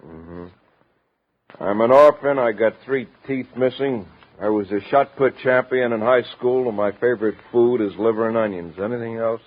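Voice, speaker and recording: An American actor playing a moody, sardonic, lovesick sketch artist with an obsessive streak, clipped in delivery, in a 1950s radio drama. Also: male voice, medium pitch, normal speed, close to an everyday voice. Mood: sardonic, curt. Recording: dull, muffled vintage radio recording.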